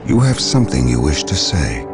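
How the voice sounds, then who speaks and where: An actor playing an ominous, stern psychic warrior-librarian in a video game, speaking formally and with menace. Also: male voice, very deep voice, sing-song, moderate pace, loud music behind the voice, full character voice. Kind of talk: dramatic video game acting.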